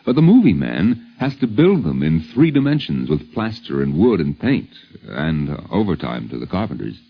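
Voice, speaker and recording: A man's voice: deep voice, big pitch swings, normal speed, gravelly. An American man narrating a 1950s radio documentary from a script, with polished, wry, theatrical phrasing. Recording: muffled 1950s radio broadcast.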